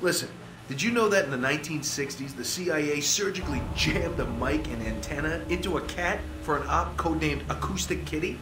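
An actor playing an unhinged, chatty rogue CIA operative in a video game, rambling with flippant, jokey menace. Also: male voice, a little high, fairly quick, echoey room, lightly acted. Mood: manic, breezy. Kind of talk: video game cutscene acting.

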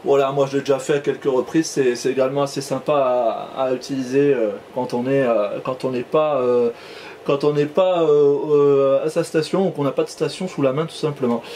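A man's voice: medium pitch, steady tone, a little fast, a little mellow, a little hiss or hum. A man chatting casually as an amateur radio operator, hesitantly, often repeating and trailing off in his phrases. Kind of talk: casual radio contact chat.

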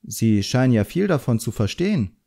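An earnest, proud boy apprentice magician, acted by a man reading an audiobook. He sounds naive and touchy, quick to take offence, with a mellow tone. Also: medium pitch, sing-song, gravelly. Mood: indignant.

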